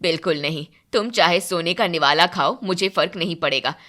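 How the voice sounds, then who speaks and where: Woman playing deep-voiced, resolute mother-in-law. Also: a bit bright, natural voice. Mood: firm. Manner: measured, firm. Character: stern, resolute middle-aged mother-in-law.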